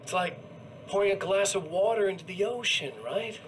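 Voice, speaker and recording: A man's voice: high-pitched, fairly bright tone, slight background noise. An actor playing a gleeful, menacing evil doppelganger in a video game cutscene, taunting and drawing out his words in mockery. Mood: gloating. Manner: taunting, drawn-out and mocking.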